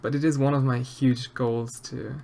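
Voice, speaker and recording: A man's voice: mid-range voice, little pitch movement, normal speed, gravelly, a little hiss or hum. A man from Austria with an Austrian German accent, chatting hesitantly with viewers of his live stream, full of fillers and restarts. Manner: hesitant, many fillers and restarts, soft-spoken. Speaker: streamer answering chat while sculpting. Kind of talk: casual live-stream chat.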